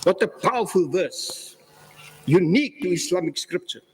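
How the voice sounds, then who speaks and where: A man giving an earnest, emphatic religious speech, quoting scripture slowly. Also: sits high, animated, a little mellow, a little hiss or hum. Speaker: speaker. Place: large hall at a religious convention. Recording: echoey hall with long reverb.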